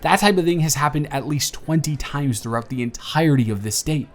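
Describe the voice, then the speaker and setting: A man's voice: fairly high pitch, fairly quick, lightly acted. A man playing a giddy, smitten young man on a blind date, bantering playfully in a one-sided romantic audio roleplay. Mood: tender, nervous. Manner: animated, bantering, playful storytelling with stammers.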